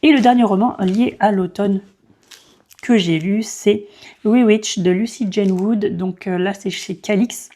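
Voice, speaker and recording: Mellow-voiced woman chatting about books. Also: medium pitch, a little slow. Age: 30s. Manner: hesitant, many fillers and restarts. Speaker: book vlogger. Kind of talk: casual book review.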